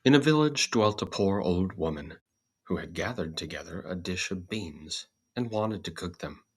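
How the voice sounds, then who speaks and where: A man reading a scripted storybook tale as host and narrator, in a measured, deliberate manner. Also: deep voice, expressive, breathy edge.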